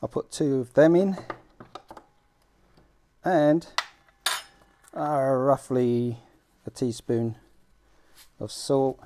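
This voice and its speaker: A middle-aged man with a British accent hosting an informal homemade how-to vlog, talking in a halting, self-deprecating way as he works. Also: medium pitch, warm tone. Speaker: fishing vlog host. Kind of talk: casual how-to demonstration. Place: small room at home, indoors.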